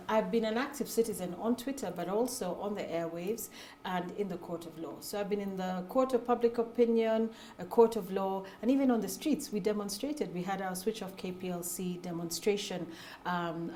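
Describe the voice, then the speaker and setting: A Kenyan woman in her forties, answering interview questions about her run for the Senate in an articulate, measured way. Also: medium pitch, mellow, a little hiss or hum. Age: 40s. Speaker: Senate aspirant, guest.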